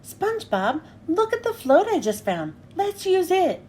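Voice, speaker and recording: A woman voicing a slow, dim-witted male starfish with a dopey manner. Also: very high voice, very animated, a little mellow, slight background noise, pitched above the performer's own voice, full character voice. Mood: excited.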